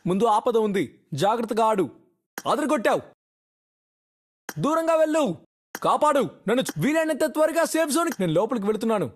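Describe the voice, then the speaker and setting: A woman's voice: a little high, animated, fairly quick, long pauses. A woman with a Telugu accent voicing a young soldier's short battle callouts for a game voice pack. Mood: alert, urgent. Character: young female soldier giving teammates quick battle callouts.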